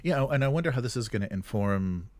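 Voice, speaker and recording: A man co-hosting a gaming news show in casual chat, talking in a halting, rambling way as he searches for words. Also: medium pitch, animated, darkish tone, no echo. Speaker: co-host of a weekly tabletop gaming news show.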